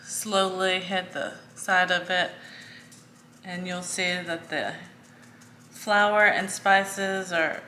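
A woman in her thirties hosting a home cooking video, walking through a recipe step by step in a casual way with a few fillers. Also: mid-range voice. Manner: slow, step-by-step instructions with fillers. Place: home kitchen, indoors. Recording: echoey kitchen with faint noise.

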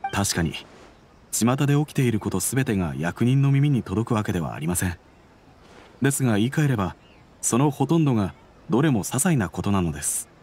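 A man playing an earnest detective agency employee in an anime game, speaking in a formal, deferential, measured way. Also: lowish voice, very animated, darkish tone, slight background noise. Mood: deferential, helpful. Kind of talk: Japanese anime game dialogue. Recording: faint music under the voice.

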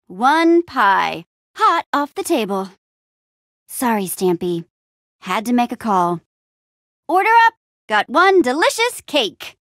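An upbeat young female adventurer from a video game. Her voice sits fairly high and swings expressively through its range, recorded close and dry. She talks in a friendly, casual, quippy way, like a small-town hero. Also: no echo, full character voice. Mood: cheerful, friendly. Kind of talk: video game character acting.

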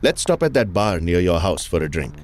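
An actor playing an easygoing, slightly formal man in conversational drama dialogue, with measured delivery. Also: low voice, very animated, slight background noise. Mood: casual.

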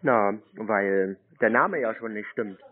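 A man calmly needling and mocking the other party in a teasing argument on the telephone. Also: lowish voice, slow. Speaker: scam-baiting prank callee. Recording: tinny narrowband phone line.

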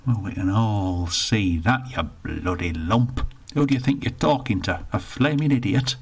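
A man's voice: fairly low pitch, lively intonation, a little slow, husky, exaggerated voice. A man voicing a stubborn, belligerent older man, blustering and indignant.

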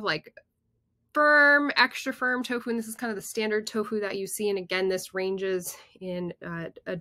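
Young female nutrition educator, bright, close dry webcam sound, relaxed explanatory delivery. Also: medium pitch, dry recording. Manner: casual and explanatory with fillers. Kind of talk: slide presentation.

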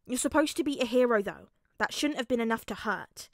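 Lady reading as a bossy little boy, pouty n proud, storybook style, highish voice, close dry sound. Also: animated, fairly quick, dry recording. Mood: defensive, sulky. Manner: pouting, blunt, demanding delivery. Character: small boy of four, grumpy, bossy, proud.

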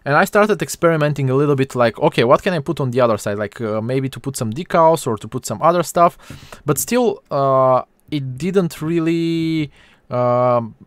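A man in his thirties with a Bulgarian accent, giving an informal live tutorial in a halting, hesitant way with frequent pauses. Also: mid-range voice, clear, resonant tone, no echo. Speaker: 3D artist hosting a stream.

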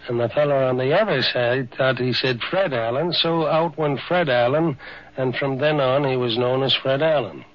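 A man's voice: medium pitch, moderate pace. Plain-spoken man on muffled old radio. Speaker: interviewee recounting an anecdote. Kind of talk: conversational storytelling. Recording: dull, band-limited 1950s radio recording.

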